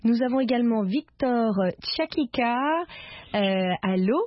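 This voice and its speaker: A woman's voice: a little high, a little slow, no echo, dull audio. A woman hosting a radio phone-in, politely greeting callers and prompting them with questions. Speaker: radio host.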